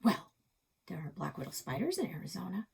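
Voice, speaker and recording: A woman's voice: lowish voice, sing-song, a little fast, vocal fry, darkish tone, breathy edge, heavily acted. A woman voicing an exasperated, argumentative child in a children's storybook, insistent and combative. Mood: one-upping. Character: exasperated, argumentative child narrator arguing back.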